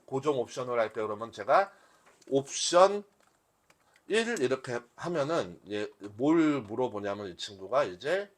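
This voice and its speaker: A man's voice: mid-range voice, expressive, moderate pace. A man giving a live demonstration as a workshop instructor, talking conversationally and often posing questions that he answers himself. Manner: conversational teaching, asks and answers own questions. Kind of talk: live software demonstration to a class.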